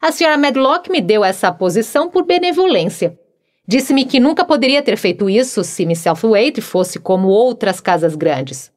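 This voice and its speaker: A woman's voice: fairly high pitch, expressive, moderate pace, a little mellow, lightly acted. Woman doing a frank cheerful young maid. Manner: frank, cheerful, matter-of-fact. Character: good-humored plainspoken young housemaid.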